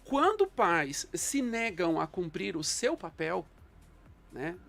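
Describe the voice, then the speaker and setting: A man, a guest psychologist on a panel, speaking in a measured, reflective way with frequent fillers. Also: high voice, big pitch swings, vocal fry, a bit bright, faint music behind. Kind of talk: thoughtful panel discussion.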